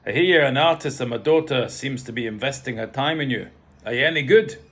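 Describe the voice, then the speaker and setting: A man voicing a blunt, commanding older Scottish father in a storybook, with a slightly rough voice and accent. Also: medium pitch, brisk, fairly bright tone, natural voice. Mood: blunt, opinionated. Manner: bellowing, blunt, imperious.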